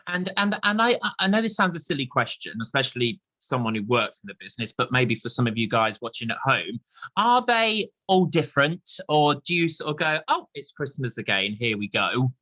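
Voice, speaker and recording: A man's voice: medium pitch, sing-song, brisk, bright, forceful tone, dry recording, rough recording. A man hosting a casual, chatty interview, asking questions and agreeing along. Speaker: host.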